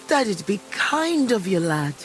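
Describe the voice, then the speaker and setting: An actress playing a frail, wistful old village widow in a medieval video game, speaking in a halting, fond way. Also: female voice, medium pitch. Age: older. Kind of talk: dramatic video game dialogue.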